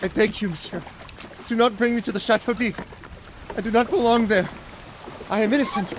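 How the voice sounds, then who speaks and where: An actor playing a naive, frightened young man taken prisoner, pleading earnestly and haltingly in an audio drama. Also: high voice, steady tone, natural voice. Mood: desperate pleading. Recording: noisy boat and water ambience. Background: boat and water sounds.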